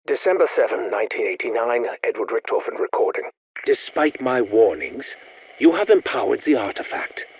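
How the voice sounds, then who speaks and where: An actor playing a grieving, obsessive male scientist, speaking in a measured, brooding, confessional way in video game audio logs. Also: mid-range voice, big pitch swings, a little hiss or hum.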